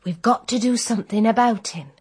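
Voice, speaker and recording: An actress playing a timid, earnest young housemaid in a radio drama, deferential, with a working-class English accent. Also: female voice, on the high side, fairly quick, a little mellow, husky. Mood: anxious, apologetic. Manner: deferential, unpolished grammar.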